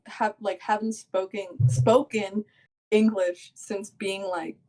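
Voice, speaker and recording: A woman's voice: mid-range voice, fairly even intonation, takes it slowly, dark tone, rough recording. A young woman co-hosting a podcast, chatting casually and rambling, with "like" in nearly every phrase. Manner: rambling, heavy use of "like". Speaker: podcast co-host. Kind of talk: casual chat.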